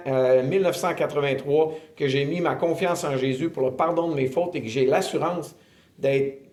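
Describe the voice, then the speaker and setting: A man in his fifties with a Québécois accent, earnestly telling his faith testimony in an interview, often pausing with filler sounds. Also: a little high, darkish tone. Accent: Québécois French. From Quebec, Canada. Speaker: ministry director giving testimony. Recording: roomy echo.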